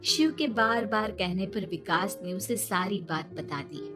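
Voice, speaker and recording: A woman's voice: medium pitch, measured pace, music in the background. A woman narrating a scripted children's story as its storyteller.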